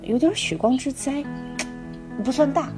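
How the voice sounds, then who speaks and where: A woman's voice: sits high, a little slow, over music. A woman acting a mysterious, bargaining fortune-teller in a comic radio play, speaking in terse, cryptic pronouncements. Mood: cryptic, calm.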